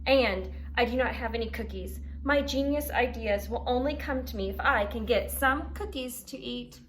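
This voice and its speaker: A woman's voice: fairly high pitch, normal speed, a bit bright, a little hiss or hum, voice pushed up, close to an everyday voice. A woman voicing a vain, grumpy male unicorn in a children's storybook, self-important and dismissive. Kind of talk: children's storybook read-aloud.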